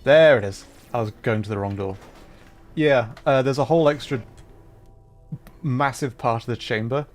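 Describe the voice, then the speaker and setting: A man's voice: mid-range voice, normal speed, long pauses, faint music behind. A man giving casual, playful livestream gameplay commentary, self-deprecating and thinking aloud in repeated little phrases. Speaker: livestreamer playing a puzzle game.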